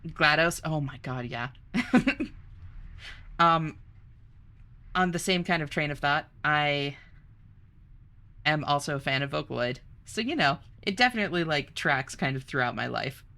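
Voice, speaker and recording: A young woman streamer chatting casually, reading chat aloud, bubbly and quick to laugh. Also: low voice, bright, forceful tone.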